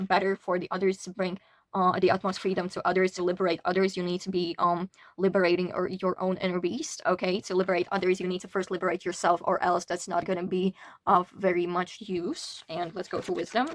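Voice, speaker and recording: A woman's voice: lowish voice, flat delivery, brisk. A young adult woman from Latvia giving a casual tarot reading, talking chattily with frequent restarts and the odd swear word. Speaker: tarot reader.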